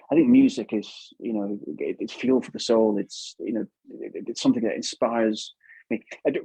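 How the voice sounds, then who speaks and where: A man with an English accent chatting casually and thoughtfully as a guest, in a halting, hedging way. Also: mid-range voice, dark tone, slightly rough, dry recording, rough recording. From England. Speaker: puppeteer guest. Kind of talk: casual chat.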